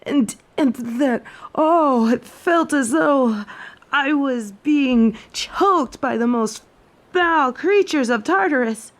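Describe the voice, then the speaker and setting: A proud, snobby fallen angel of judgment, acted by a woman, suffering through a head cold. The voice is fairly high and very measured, with haughty, old-fashioned formal wording suited to a dramatic audio roleplay. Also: expressive, heavily acted. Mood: disgusted, outraged. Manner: haughty, formal, archaic phrasing. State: sick with a head cold, sore throat.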